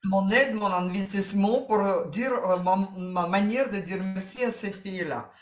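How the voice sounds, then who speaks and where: A woman from Ukraine with a Ukrainian accent, a guest in an interview, talking slowly and searching for her words, often with a small laugh. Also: medium pitch, echoey room, faint music behind. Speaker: interview guest, coaching client. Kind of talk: testimonial interview.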